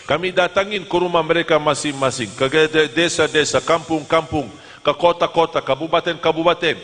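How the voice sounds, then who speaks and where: A man preaching, his voice sitting a little high for a man. He jokes and asks his listeners questions. His words ring out with a long reverb in a large hall. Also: fairly bright tone, slight background noise. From Medina, Saudi Arabia. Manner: humorous, engaging, asks the audience questions. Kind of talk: sermon to a live audience.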